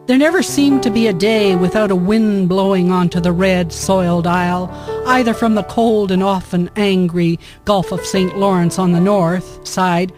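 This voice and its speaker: Woman storyteller narrating over prominent guitar music. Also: on the low side. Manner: measured, formal storytelling. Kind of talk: scripted historical narration.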